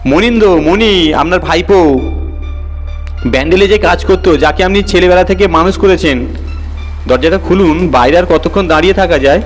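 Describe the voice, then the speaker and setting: A man's voice: sits high, moderate pace, voice pushed up, natural voice. A man voicing an eerie, insistent and oddly cheerful nephew in a horror story. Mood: pleading, insistent. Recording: long reverb, background music.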